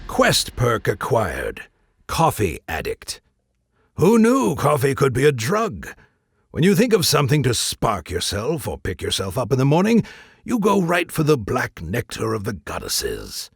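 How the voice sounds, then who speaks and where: Man as a wildly animated, husky game announcer. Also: medium pitch, measured pace, dry recording, studio quality, heavily acted. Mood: wry, dramatic. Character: playful game-system announcer of perks. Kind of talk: theatrical scripted announcement.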